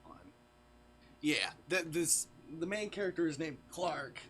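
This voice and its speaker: A man's voice: on the high side, unhurried, noisy background. A man doing casual livestream game commentary, reading on-screen text aloud and muttering asides to himself. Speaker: game streamer.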